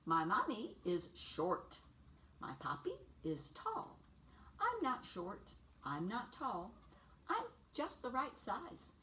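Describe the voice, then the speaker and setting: Older woman reading to children, animated intonation. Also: on the high side. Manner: simple, deliberate phrasing with questions to young listeners. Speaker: children's storytime teacher reading a picture book aloud. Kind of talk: read-aloud storytime for young children. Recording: distant mic with faint background noise.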